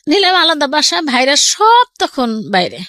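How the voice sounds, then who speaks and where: A middle-aged woman with a Bangladeshi accent shares a personal account. Her voice sits fairly high and moves widely up and down as she relays other people's words. The sound is close and dry, like a studio. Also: moderate pace, dry recording. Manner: animated, quotes people's words as she recounts. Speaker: guest telling her life story. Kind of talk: personal storytelling in an interview.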